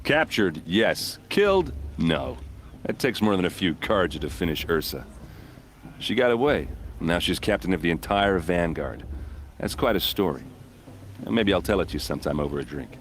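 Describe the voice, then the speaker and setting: A man's voice: low-pitched, big pitch swings, normal speed, a little hiss or hum. An actor playing a breezy, flirtatious, confident man chatting teasingly in a fantasy game. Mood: flirtatious, boastful. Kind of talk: casual dialogue in a fantasy game.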